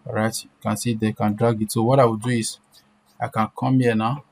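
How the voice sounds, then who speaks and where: Flat-toned man giving a software tutorial. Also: fairly low pitch, brisk. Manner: repetitive, checking in with short questions. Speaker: tutorial presenter. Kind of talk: informal step-by-step screen-share software tutorial. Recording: clear background noise.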